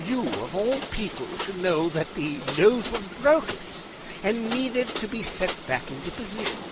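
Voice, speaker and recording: A man's voice: sits high, sing-song, measured pace, very noisy. A man voicing an eccentric, showy detective in a comedic audio drama, speaking in a plummy, theatrical manner. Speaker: voice actor in a fan audio drama.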